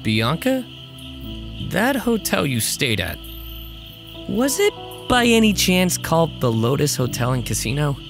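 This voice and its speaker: A man's voice: on the high side, very animated, unhurried, vocal fry, long pauses, over music, full character voice. A man playing a casual, inquisitive teenage boy hero in an audio drama. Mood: intent, concerned. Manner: casual, questioning.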